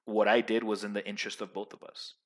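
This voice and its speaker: A young man giving casual social advice to his viewers in a loud, emphatic, rambling way, full of restarts. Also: lowish voice, level tone, fast.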